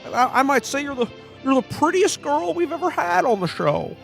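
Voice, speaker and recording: A man's voice: very high-pitched, big pitch swings, gravelly, a bit bright, soft background music, heavily acted. A man playing a naive, bashful podcaster who stammers in a parody of old film acting. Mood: bashful, eager. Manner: stammering, halting. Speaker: podcast host playing himself. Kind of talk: parody of 1940s film acting in a radio play.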